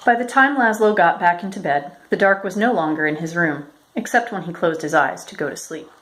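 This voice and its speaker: Woman doing story time for kids, slightly low voice, reads it out measured and expressive. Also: low-quality recording. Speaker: storyteller, educator. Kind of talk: read-aloud children's picture book narration.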